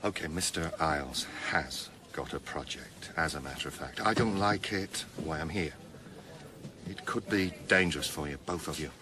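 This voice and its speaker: An actor playing an earnest police detective, persuasive and blunt, in a naturalistic radio drama. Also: male voice, very deep voice, moderate pace. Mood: urgent, grim. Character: earnest, urgent police detective, charming with women. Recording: noisy ambient background.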